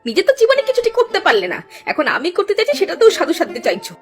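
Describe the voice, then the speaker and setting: Cartoon role: actress as scornful, grasping wife, high rapid delivery over prominent music. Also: female voice, huge pitch swings, heavily acted. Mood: greedy, scolding. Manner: sharp-tongued, scolding. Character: greedy, scornful, domineering village wife. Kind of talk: cartoon dialogue acting.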